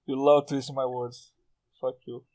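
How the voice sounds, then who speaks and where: A man's voice: mid-range voice, little pitch movement, moderate pace, darkish tone. A man hosting a casual group podcast, asking questions and bantering. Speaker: podcast host.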